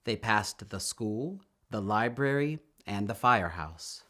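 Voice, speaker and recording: A man reading a children's story aloud in an expressive, deliberate storytelling manner. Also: lowish voice, a little hiss or hum. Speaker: storybook reader.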